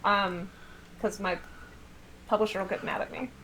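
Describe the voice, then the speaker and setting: An American woman chatting casually as a guest author, telling stories in an animated, self-deprecating way with some swearing. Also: mid-range voice, normal speed, crowd noise, low-quality recording. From United States. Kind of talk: casual livestream chat.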